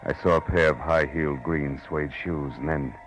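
A man's voice: rumbling low, steady tone, normal speed, low-quality recording. An American man acting a weary private detective in a 1940s radio noir, narrating and talking in a terse, dry, sardonic way. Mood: world-weary. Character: weary hard-boiled male private detective narrating his own case. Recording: old radio broadcast with music.

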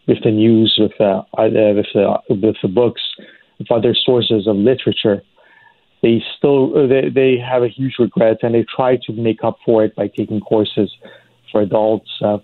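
Man, low voice, hesitant guest over a tinny phone line, dry. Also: no echo. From Iran. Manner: halting, many fillers and restarts. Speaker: community advocate guest. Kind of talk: radio interview answers.

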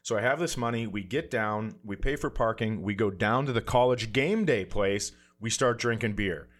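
A man's voice: fairly low pitch, moderate pace. A man telling a casual comic story in a self-deprecating way, acting out what people said as he goes. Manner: self-deprecating, animated anecdote telling with acted-out quotes. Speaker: comedian podcast guest telling a story.

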